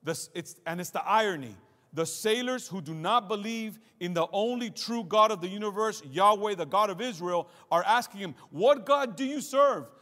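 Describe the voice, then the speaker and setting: A male pastor with a high-pitched voice preaches in a big hall, his words ringing with long reverb. His delivery is emphatic and dramatic, built on repetition and pointed questions to the congregation. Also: normal speed, sharp tone. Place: large hall with congregation and screen, streamed to viewers at home.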